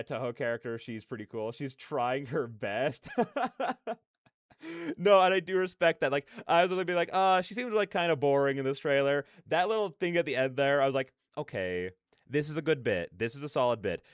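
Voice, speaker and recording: Male streamer, mid-pitched clean smooth voice, dry close sound, rambling and slangy. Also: expressive, moderate pace, no echo. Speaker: livestream host. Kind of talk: casual reaction livestream chat.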